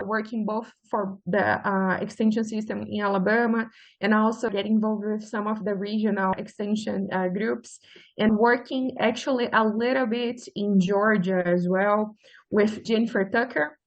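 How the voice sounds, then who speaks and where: A woman's voice: medium pitch, fairly even intonation, moderate pace, highs cut off. Brazilian-accented woman giving a forage talk. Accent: Brazilian Portuguese. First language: Portuguese. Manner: many "uh" fillers, earnest and explanatory. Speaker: forage extension specialist. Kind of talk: webinar presentation.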